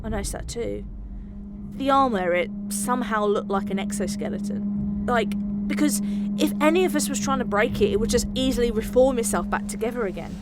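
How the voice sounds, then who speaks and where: Woman playing a high-voiced, firm starship captain. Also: fairly quick, very noisy, natural voice. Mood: serious. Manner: earnest, firm. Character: brave, dutiful female spaceship captain. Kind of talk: fan sci-fi audio drama. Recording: loud music over the voice.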